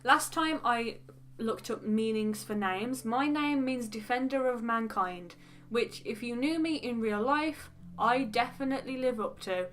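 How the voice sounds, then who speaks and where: A young woman with a West Midlands accent talks to the camera in a chatty, rambling way, full of frank little asides. Her voice sits a little on the high side. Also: a little slow, a bit bright, a little hiss or hum. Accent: West Midlands English. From English Midlands. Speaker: beauty vlogger. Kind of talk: casual get-to-know-me chat.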